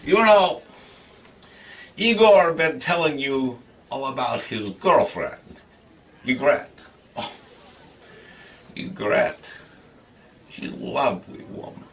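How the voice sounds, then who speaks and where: A man's voice: mid-range voice, slow, long pauses, distant mic, a little hiss or hum. A man playing a jolly, crude comedy host who tells stories in chuckling broken English. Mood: boisterous, boastful. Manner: broken grammar, chuckling, animated storytelling. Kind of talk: comic monologue in character.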